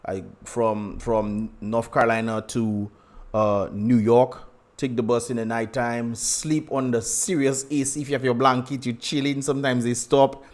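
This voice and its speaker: A man's voice: medium pitch, level tone, a little slow. A young man with a Dominican accent hosting a casual livestream, chatty and laughing often as he greets viewers. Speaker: livestream host.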